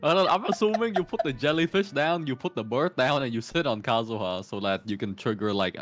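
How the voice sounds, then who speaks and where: A man's voice: mid-range voice, lively intonation, fairly quick, fairly bright tone. A man giving game advice in casual, informal chat with a wry, teasing manner. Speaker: guest advising the streamer on the game.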